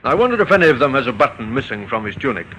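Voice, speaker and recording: An actor playing a brisk, courteous but probing police inspector in an old-time radio drama, on a noisy vintage recording. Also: male voice, mid-range voice, sing-song, a bit bright. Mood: formal, probing.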